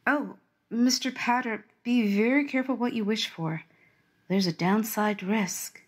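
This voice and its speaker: A woman's voice: on the high side, unhurried, slightly rough. A woman playing a harried director of policy who answers calls from home and politely turns the caller down. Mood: officious. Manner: polite, officious.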